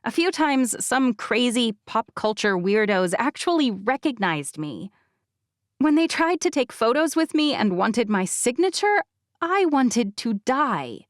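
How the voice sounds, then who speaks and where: A woman narrating a first-person novel as an audiobook, with expressive, wry delivery. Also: a little high, a little slow, no room sound, clean studio sound.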